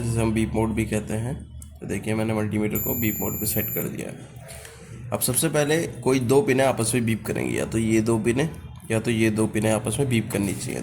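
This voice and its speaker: A man showing a hands-on repair demonstration, explaining each step as he works. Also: medium pitch. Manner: explains while working, pointing things out. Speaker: repair technician doing a demo.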